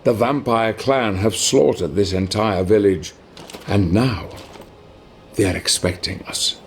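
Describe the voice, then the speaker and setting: An actor playing a grave, scheming knightly ally who narrates a dark fantasy game in solemn, measured, formal phrases. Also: male voice, lowish voice, slight background noise. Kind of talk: dramatic scripted game narration.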